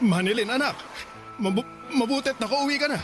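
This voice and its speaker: A man's voice: very high-pitched, expressive, measured pace, over music. A man playing a shameless middle-aged father who talks smugly and mocks others in a radio drama. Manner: smug, mocking, laughing.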